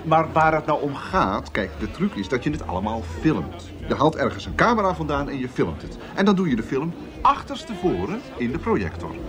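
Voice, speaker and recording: An actor playing a breezy, eager traveller who explains things in a chatty, insistent way in a radio comedy. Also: male voice, fairly high pitch, big pitch swings, a little fast. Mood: eagerly explaining. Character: breezy, eager male traveller sharing odd ideas. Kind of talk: radio comedy drama acting. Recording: music playing behind the dialogue.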